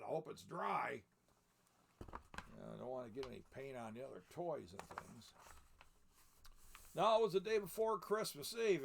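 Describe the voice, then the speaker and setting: A man's voice: fairly high pitch, vocal fry. An older man in the role of Santa Claus reads a picture book aloud, adding folksy, chatty asides as he goes. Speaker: storyteller in the persona of Santa Claus.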